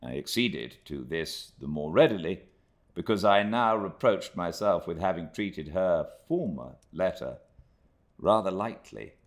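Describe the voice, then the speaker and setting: A British man narrating a classic novel in a measured, expressive storytelling manner. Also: sits low. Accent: British English. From Britain. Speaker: audiobook narrator.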